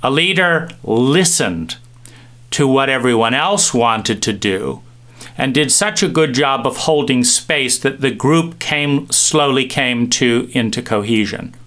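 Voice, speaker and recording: A middle-aged man giving a reflective talk, musing with pauses and restarts as he tells stories. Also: mid-range voice, fairly bright tone. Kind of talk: reflective monologue with anecdotes.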